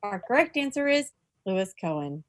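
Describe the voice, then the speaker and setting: A woman's voice: medium pitch, sing-song, normal speed, dry recording, studio quality. A woman hosting an informal quiz for a group, making announcements in a playful, mock-formal manner. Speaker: quiz host at a club meeting.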